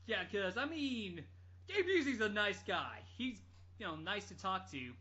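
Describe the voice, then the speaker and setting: Animated, bright-voiced man telling casual anecdotes. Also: high voice, normal speed, slight background noise. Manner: animated, rambling, jokey. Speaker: podcast storyteller. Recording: dull lo-fi podcast audio.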